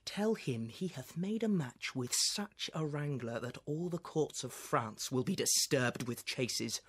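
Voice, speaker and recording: A man's voice: medium pitch, lively intonation, measured pace, fairly bright tone, slightly rough. Young actor as defiant young king, declamatory, lofty. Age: young adult. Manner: declamatory, lofty, rising emphasis. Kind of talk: Shakespeare monologue.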